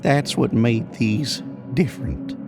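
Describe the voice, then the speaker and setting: A man's voice: medium pitch, takes it slowly, over music. A man voicing an older, rambling American cowboy in an audio horror story, with a folksy, drawling manner. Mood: patient, mysterious. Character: older rambling cowboy spinning a spooky trail tale.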